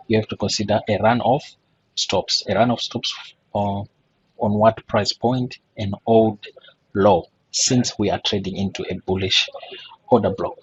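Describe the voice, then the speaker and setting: Male trading tutor, low, raspy, slightly hoarse voice; lo-fi home mic. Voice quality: husky. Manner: rhetorical questions and frequent "right?" checks. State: voice still hoarse after a throat infection. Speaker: forex trading educator. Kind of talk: screen-share chart walkthrough lesson.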